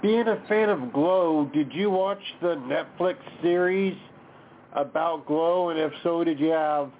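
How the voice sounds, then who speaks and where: A man's voice: on the high side, measured pace, a little hiss or hum. Man hosting over a tinny line. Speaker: podcast host. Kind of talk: casual chat, interviewing.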